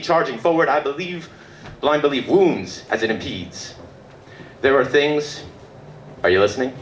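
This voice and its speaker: Echoey, low-voiced man reciting slam poetry. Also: a bit bright. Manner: rhythmic, dramatic delivery with deliberate pauses. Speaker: poet performing own work at a reading. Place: indoor book release reading before an audience. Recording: echoey live room with background noise.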